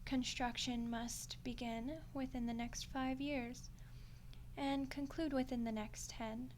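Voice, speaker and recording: A woman voicing an ancient female archdemon in a roleplay ASMR monologue, playful, condescending and coolly menacing, in an unhurried delivery with whispery touches. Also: high voice, level tone, a little hiss or hum. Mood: amused, smug.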